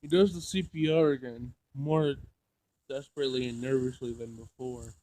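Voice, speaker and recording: A man playing through a visual novel, reading it aloud in an emotional, halting way with dramatic outbursts. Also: mid-range voice, fairly even intonation, warm tone, no room sound, poor audio. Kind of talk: let's play narration with loud reactions.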